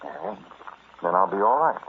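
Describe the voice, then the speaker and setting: A man's voice: low voice, big pitch swings, a little slow, phone-quality sound, background noise. An actor in 1940s radio drama style playing a domineering, taunting madman who gloats over his power to destroy, on an old radio recording. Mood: uneasy scheming. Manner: domineering, taunting, chuckling menace. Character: deranged, gloating man wielding power to destroy the world.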